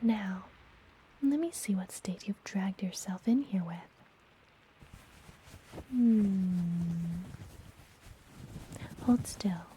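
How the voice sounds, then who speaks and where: A woman playing a friendly, mysterious witch and healer in an ASMR fantasy roleplay, whispering softly and gently with a teasing manner. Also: mid-range voice, slight background noise, rough recording. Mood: playful, soothing. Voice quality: soft, breathy whisper. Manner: gentle, slow, playfully teasing.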